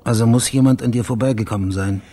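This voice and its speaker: An actor playing a blunt, dogged investigator in a radio crime drama, terse and matter-of-fact, with a husky, gravelly voice. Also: male voice, medium pitch, fast talker, warm tone, lightly acted. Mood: pressing, blunt. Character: dogged, blunt male investigator questioning witnesses and reasoning out a murder. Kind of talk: naturalistic German radio crime drama acting.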